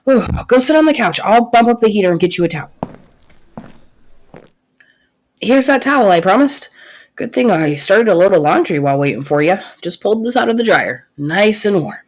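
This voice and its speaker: A young woman playing a caring, protective best friend secretly in love, in soft, intimate roleplay audio, with a husky, breathy voice and a teasing, reassuring way of talking. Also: mid-range voice, big pitch swings, fast talker. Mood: excited, fussing. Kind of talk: soft-spoken roleplay audio acting addressed to listener.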